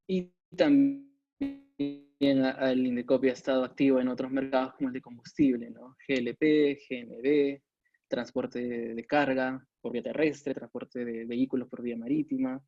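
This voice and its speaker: A man giving an informal expert lecture as a webinar presenter, speaking haltingly with frequent pauses over a video call microphone. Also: mid-range voice, level tone, mellow, dry recording. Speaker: webinar presenter, competition agency adviser. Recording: dull compressed webinar audio.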